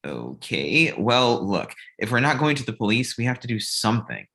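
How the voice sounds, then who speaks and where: A man's voice: mid-range voice, very animated, normal speed, creaky, fairly bright tone. A man playing an exasperated, worried roommate in a comedy script reading, casual and incredulous. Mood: serious. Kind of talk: comedic script table read.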